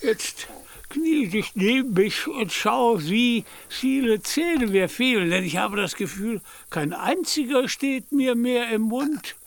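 A man's voice: very high voice, lively intonation, takes it slowly, gravelly, heavily acted. A man in a German radio play, playing a deluded, proud knight-errant with grandiloquent, archaic, declamatory speech. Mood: aggrieved.

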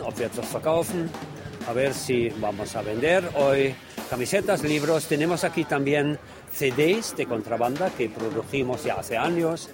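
Male radio host and reporter over music. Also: mid-range voice. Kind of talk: radio reportage switching between German and Spanish.

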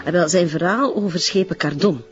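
An actress playing a chatty police officer with a Flemish accent, eagerly sharing findings in colloquial talk, in a television crime drama. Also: female voice, lowish voice, fairly quick, natural voice. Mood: gossipy, amazed. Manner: colloquial, eager, frequent tag questions. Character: chatty, gossipy female police colleague. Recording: muffled television audio with faint music.

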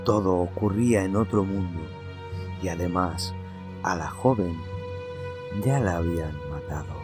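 A man narrating a horror story in a measured, dramatic way, with lingering pauses. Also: sits low. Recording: narration over background music.

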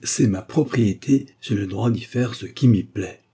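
A man's voice: medium pitch, expressive, a little slow, dark tone, husky. A man voicing a stubborn, wily old peasant in a storybook, with rustic, colloquial and cheeky speech. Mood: obstinate. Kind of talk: literary audiobook dialogue.

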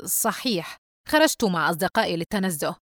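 A woman's voice: fairly low pitch, animated, a little fast, fairly bright tone, dry recording, studio quality. A woman voicing a callous, mocking teenage boy, cold and sarcastic, in dramatised audiobook dialogue. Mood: indifferent. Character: callous, mocking teenage brother, sly and indifferent.

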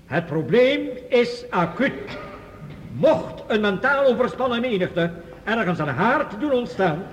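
A man's voice: high-pitched, very animated, slow pace, exaggerated voice. A male actor playing a grave, urgent council leader who declaims formally to delegates in a radio drama. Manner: solemn, declamatory. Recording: reverberant hall, faint noise.

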